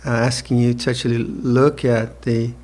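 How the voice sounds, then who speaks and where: A man's voice: medium pitch, little pitch movement. Reflective man in his seventies. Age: 70s. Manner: slow, reflective, with soft laughs and restarts. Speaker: monk teacher. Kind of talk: evening dharma talk. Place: retreat hall with listeners.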